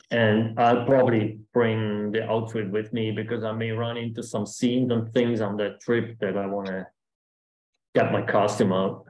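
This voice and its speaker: A man's voice: fairly low pitch, darkish tone, lo-fi sound. German-accented man chatting in an echoey room. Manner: chatty, rambling with restarts. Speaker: guest speaker. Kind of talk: casual talk answering questions.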